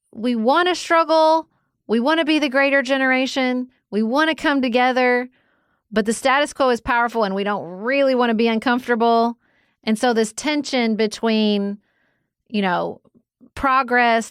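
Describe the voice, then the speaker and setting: A woman co-hosting a podcast, talking through a book in an animated, opinionated, conversational way. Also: fairly high pitch, smooth, dry recording, clean studio sound. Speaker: podcast co-host. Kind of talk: casual book discussion.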